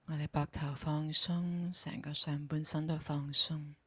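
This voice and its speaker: A woman with a Hong Kong Cantonese accent guides a meditation in a soft, breathy voice, speaking calmly and giving gentle instructions. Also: low-pitched, dry recording. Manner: slow, calm, gently instructing.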